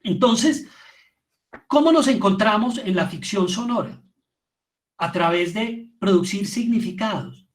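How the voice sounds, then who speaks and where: A middle-aged man with a Colombian Spanish accent giving an informal lecture in an explanatory, emphatic way, often building up repeated phrases. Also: high-pitched. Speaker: lecturer, theatre and radio drama maker. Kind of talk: informal online lecture on audio fiction.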